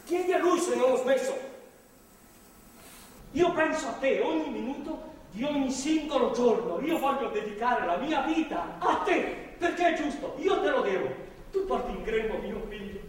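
In a reverberant hall, far from the microphone, a desperate reformed-alcoholic husband on stage. His high voice begs and stumbles, fervent and volatile, imploring with newfound religious zeal. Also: expressive. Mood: pleading, desperate. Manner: halting, imploring, swinging from hushed to shouting. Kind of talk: theatrical stage acting, impassioned monologue. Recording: echoey theatre hall, distant mic, faint noise.

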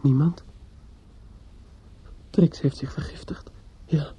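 An actor in a radio play playing a distraught, bitter young man in grief, speaking haltingly with stammers and repetitions. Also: fairly high pitch, fairly even intonation, mellow, breathy edge, whispered, exaggerated voice. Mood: shocked disbelief. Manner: halting, stammering, repeats himself. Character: distraught, bitter young bridegroom-to-be, grieving and obsessive. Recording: dull lo-fi radio play recording.

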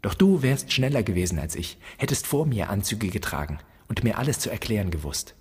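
Husky, deep, bitter son. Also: male voice, expressive, a little fast. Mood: bitter, accusing. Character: bitter grown son accusing his absent father. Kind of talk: literary radio drama monologue. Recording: long reverberant hall.

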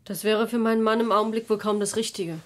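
An actress playing a worried, protective wife in a radio crime drama, speaking politely and with concern. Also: female voice, medium pitch, level tone, quick pace, natural voice.

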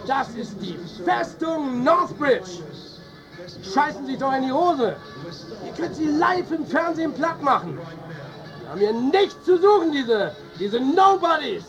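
An actor playing a fiery, foul-mouthed football coach in a radio drama, barking urgent orders and exhortations. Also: male voice, very high-pitched, very animated, unhurried, music in the background, exaggerated voice, poor audio. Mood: fired up. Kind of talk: radio drama acting, shouted team talk.

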